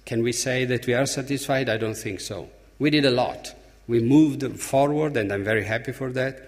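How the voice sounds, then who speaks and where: Italian-accented man speaking in a reverberant hall. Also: mid-range voice. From Italy. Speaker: speaker at a symposium opening. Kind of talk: conference speech. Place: large conference hall. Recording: reverberant hall, long echo.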